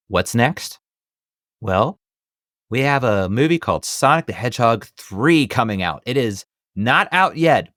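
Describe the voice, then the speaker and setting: A man's voice: medium pitch, a bit bright, no room sound, clean studio sound. A man in his thirties hosting a podcast, chatting casually about films in a rambling, emphatic way. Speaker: podcast host. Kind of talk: casual film review chat.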